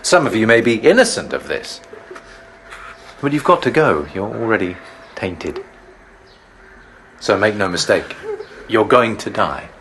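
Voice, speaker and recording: An actor playing a ruthless master of the palace guard in an audio drama, measured, formal and coldly threatening. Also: male voice, lowish voice, big pitch swings, fairly bright tone, a little hiss or hum, full character voice.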